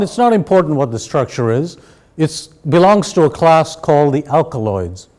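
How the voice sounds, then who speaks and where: Man, academic lecturer, slightly high voice, measured explanatory delivery. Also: a little mellow. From Sri Lanka. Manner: measured, explanatory, addresses audience directly.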